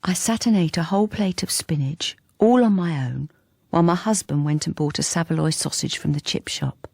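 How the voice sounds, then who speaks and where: Husky, hesitant British wife. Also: female voice, deep voice, moderate pace, a little mellow, no room sound, clean studio sound, exaggerated voice. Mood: wistful confiding. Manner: hesitant, apologetic, plain-spoken. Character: timid, self-effacing, lonely wife confiding in the listener. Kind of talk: radio drama with intimate first-person narration.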